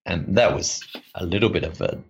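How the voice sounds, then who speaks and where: A man's voice: deep voice, fairly quick, creaky, lo-fi sound, a little hiss or hum. A man with an Australian accent, a guest rambling through stories in casual podcast chat and laughing often.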